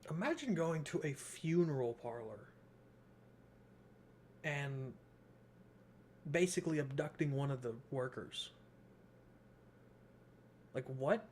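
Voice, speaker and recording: A man's voice: a little high, expressive, slow pace, pauses often, a little mellow, slight background noise. A young man giving casual, slangy, joking let's-play commentary as a gaming streamer.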